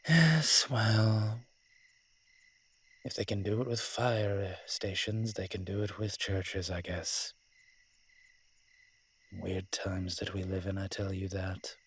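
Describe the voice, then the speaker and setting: A man acting a husky, low-voiced wry incubus demon. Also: dry recording, full character voice. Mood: musing, teasing. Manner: dry, teasing, conversational with musing pauses. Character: wry, flirtatious, functionally immortal male incubus demon. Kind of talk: roleplay audio drama addressed to the listener.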